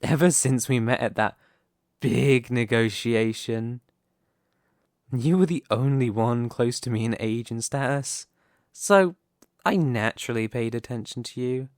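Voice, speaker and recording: A man playing a possessive, scheming young mafia boss in an ASMR roleplay, speaking in a deliberate, condescending, theatrical way. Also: mid-range voice, no room sound, clean studio sound, close to an everyday voice.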